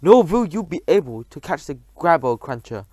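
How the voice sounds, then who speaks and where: A cruel witch queen barks orders and shrieks in a high, swooping voice, as a man reading aloud puts her on. The reading sounds dry and close, with no room around it. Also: very animated, normal speed, darkish tone, no echo. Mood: scornful. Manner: theatrical, barking and screeching. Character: commanding cruel female witch leader.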